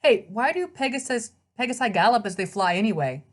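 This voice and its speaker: Woman reading as a bold young hero, raised pitch. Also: animated, fairly quick. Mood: friendly. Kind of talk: children's novel read-aloud character voice.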